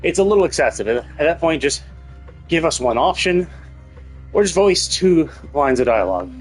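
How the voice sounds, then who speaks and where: A man's voice: a little high, sing-song, normal speed. Casual male game streamer over music. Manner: rambling, informal, conversational. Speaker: livestreamer. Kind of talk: casual game livestream commentary.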